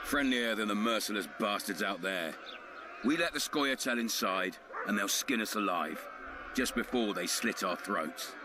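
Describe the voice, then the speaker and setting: A man playing a cynical, authoritative ruler of a fantasy town in measured game dialogue. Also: fairly low pitch, animated, background noise, close to an everyday voice. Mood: cold, menacing.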